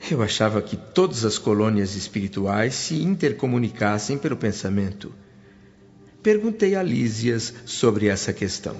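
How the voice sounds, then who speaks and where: Actor as an earnest, astonished Brazilian newcomer spirit. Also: male voice, fairly low pitch, moderate pace. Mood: reflective, troubled. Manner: earnest, expressive, wondering. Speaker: protagonist and narrator. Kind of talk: radio drama acting and storytelling. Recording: slightly muffled, music behind.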